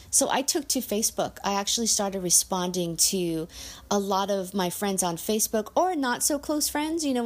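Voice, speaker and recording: A woman talks through her views in an earnest, candid personal reflection. She thinks out loud and often circles back to restart a phrase. Her pitch sits in an ordinary middle range for a woman. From United States.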